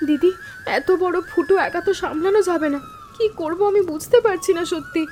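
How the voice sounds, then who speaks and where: A young sister-in-law in a cartoon drama, has a high voice. She sounds timid and earnest, pleading and deferential. Faint noisy ambience of rain sits behind her. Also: brisk, a little mellow. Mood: frightened. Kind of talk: animated story dialogue.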